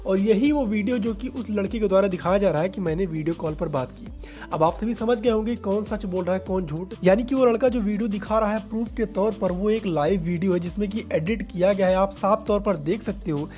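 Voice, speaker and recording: High-pitched man presenting over music. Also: brisk, darkish tone. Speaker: YouTube entertainment news host. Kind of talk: explanatory commentary addressed to viewers. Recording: background music under voice.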